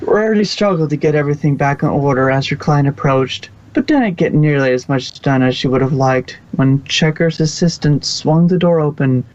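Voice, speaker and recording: A man's voice: on the high side, moderate pace, few pauses, a little mellow. A man narrating a fan fiction story aloud as an amateur reader, in storybook style. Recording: dull, lo-fi home microphone.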